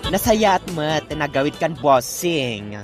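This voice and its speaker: A man's voice: on the high side, animated, unhurried, over loud music. A man playing a put-upon, complaining parlor worker with an Ilocano accent in a radio comedy drama.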